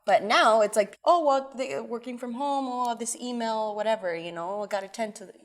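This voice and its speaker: A woman's voice: on the high side, normal speed. Chatty woman co-host. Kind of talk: casual podcast chat.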